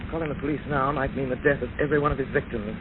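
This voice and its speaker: Man playing resolute detective hero, quick speech, commanding, cautious, tinny 1930s radio. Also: mid-range voice, fairly even intonation, noisy background, lightly acted. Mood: guarded, resolute. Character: resolute, cautious male amateur sleuth.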